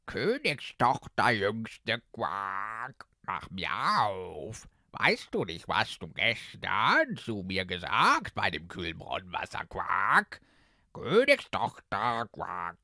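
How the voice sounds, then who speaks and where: A man voicing an insistent, demanding enchanted frog from a fairy tale, croaky and punctuating sentences with quacks. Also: fairly low pitch, wildly swooping pitch, pushed and bright, no echo, clean studio sound, full character voice. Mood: calling, insistent. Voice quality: gravelly, croaky, quacking interjections. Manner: slow, drawn-out, insistent.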